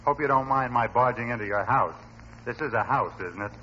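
A man's voice: mid-range voice, a little fast, lightly acted. A man playing a pushy, cheerful roving radio reporter, with slick announcer patter in 1940s comedy style. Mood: breezy, cheery. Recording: muffled vintage radio recording with hiss.

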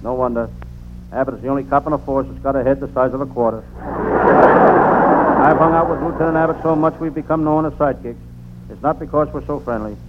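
An actor playing a deadpan, world-weary private detective narrating an old-time radio comedy. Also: male voice, medium pitch, a little fast, pauses often, lightly acted. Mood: dry, grumbling. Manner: deadpan, punning first-person delivery. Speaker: narrator and lead character. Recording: noisy, narrow-band vintage radio recording.

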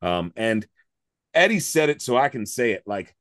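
A man in his forties with a slightly raspy voice, co-hosting a casual music podcast and talking enthusiastically, with lots of fillers and restarts. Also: mid-range voice, sing-song, moderate pace, a bit bright, dry recording, clean studio sound. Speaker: podcast co-host.

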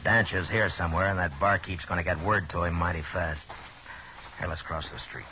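A man's voice: low-pitched, fairly even intonation, a little fast, lightly acted. An actor playing a stern, weary Old West lawman in a 1950s radio western, terse, commanding and dry. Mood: guarded, terse. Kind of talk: 1950s radio western acting and first-person narration. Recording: muffled old 1950s radio recording, noisy, music.

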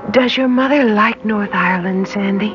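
A woman acting soft young woman, dreamy, tender, probing, old radio drama, dull recording, music clearly behind. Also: medium pitch, moderate pace, lightly acted. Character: gentle twenty-three-year-old American woman, dreamy, uncannily knowing.

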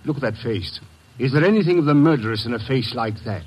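A husky-voiced, condescending sculptor, middle-aged and florid, holding forth in old-time radio drama style. His tone slides from grand lecturing to quiet menace. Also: medium pitch, expressive, fast talker. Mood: smug. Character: haughty male sculptor, protective father. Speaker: suspect's father. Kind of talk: 1940s radio acting.

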